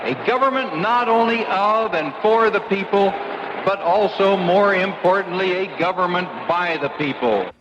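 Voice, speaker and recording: A man's voice: high-pitched, normal speed, lo-fi sound, noisy background. A man delivering a declamatory political keynote speech. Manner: declamatory oratory. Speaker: keynote speaker.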